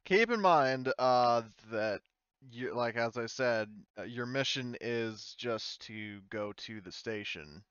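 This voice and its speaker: A man acts as game master in a casual tabletop session. His voice is clear and smooth, recorded close and dry, with slightly dull voice-chat sound. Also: mid-range voice, lively intonation, slow pace, a bit bright, dry recording.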